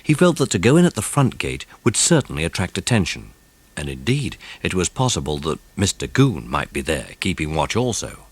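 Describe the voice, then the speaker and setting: A man narrating a children's mystery audiobook in a suspenseful, expressive way. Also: deep voice, moderate pace, slightly rough, no echo.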